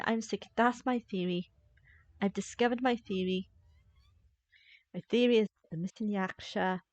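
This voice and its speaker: A woman's voice: medium pitch, dry recording. A woman livestreaming a game with casual commentary, musing aloud in a playfully sceptical way on a close mic. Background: faint game music.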